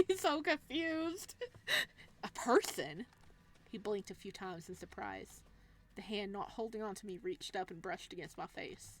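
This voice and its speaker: A woman's voice: medium pitch, animated, measured pace. A woman let's player reading game text aloud and commenting casually, in a playful, giggly, reactive way. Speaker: let's player narrating a visual novel.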